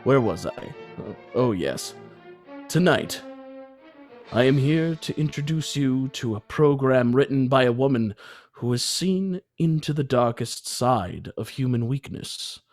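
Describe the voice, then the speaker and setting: A man giving a theatrical old-time radio introduction as announcer, dramatic, deliberate and ominous. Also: medium pitch, animated, a little mellow. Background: music.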